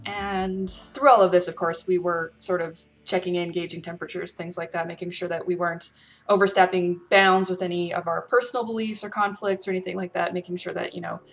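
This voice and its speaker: Lower-voiced woman, earnest casual monologue. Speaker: role-player and storyteller giving advice.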